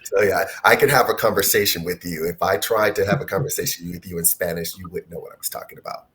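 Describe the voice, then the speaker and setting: A man moderating an online panel discussion, speaking in a measured way with frequent ums. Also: sits low, steady tone, a bit bright.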